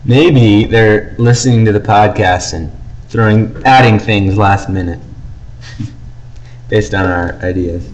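A man's voice: fairly low pitch, slow, muffled recording. A man co-hosting a podcast, talking casually as he discusses and gives his opinions. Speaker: podcast co-host. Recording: faint background noise.

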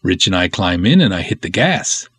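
A man plays an eager, folksy cop in a comic audio serial. He is earnest and dutiful, with a lively, swooping delivery and a slightly husky, low-pitched voice. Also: normal speed, full character voice. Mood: earnest storytelling. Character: eager, earnest Norwegian-American canoe cop named Sven, simple and dutiful. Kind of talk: comic first-person serial storytelling.

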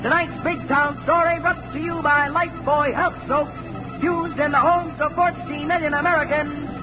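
Very high-pitched newsboy crier. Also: male voice, steady tone, normal speed, soft background music. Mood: excited. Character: excited, shouting newsboy hawking an extra edition. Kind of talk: 1940s radio acting, street cry. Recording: muffled old radio recording.

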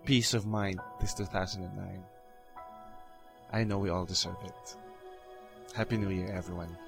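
Earnest low-voiced male podcaster. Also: moderate pace, vocal fry, music in the background. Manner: calm, earnest, with soft fillers. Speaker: podcast host. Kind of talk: reflective solo podcast monologue.

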